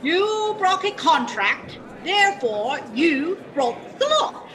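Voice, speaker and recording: Demanding female complainer, radio drama, piercingly high, sharp, slow emphatic delivery. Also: sing-song, full character voice. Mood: indignant. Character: shrill, demanding, indignant cinema patron. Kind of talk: old-time radio play acting.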